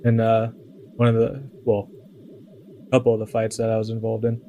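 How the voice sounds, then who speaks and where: Man playing reserved soldier, tabletop game. Very monotone, dark mellow voice, terse and dutiful, music behind. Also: on the low side, normal speed, natural voice. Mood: guarded, concerned. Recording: muffled band-limited audio under music.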